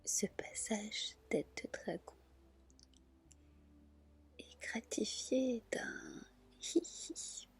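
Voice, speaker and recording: A woman reading folk tales as ASMR in a soft, breathy whisper, telling them gently and carefully. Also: fairly high pitch, drawn-out words, pauses often. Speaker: ASMR storyteller, channel host.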